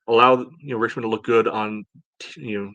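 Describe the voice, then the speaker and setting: A man's voice: lowish voice, steady tone, fairly quick, pushed and bright, no room sound, highs cut off. A man co-hosting a sports podcast in informal, casual talk full of verbal padding. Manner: informal, peppered with "you know". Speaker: podcast co-host.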